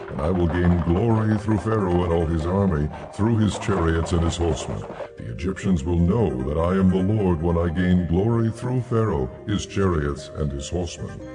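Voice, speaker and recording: A very deep, commanding God. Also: male voice, expressive. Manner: grave, authoritative, measured. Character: God, solemn commanding almighty male deity. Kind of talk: dramatized scripture audio drama. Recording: dramatic music behind voice.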